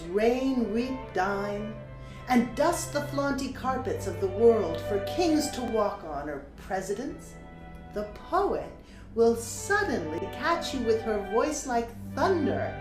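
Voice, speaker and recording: An actress performs a passionate young woman poet in an audiobook drama. Her delivery is slow and theatrical, rising and falling dramatically, with deliberate phrasing. The room rings around her and music plays behind. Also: on the high side, big pitch swings. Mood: impassioned. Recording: roomy sound with music behind.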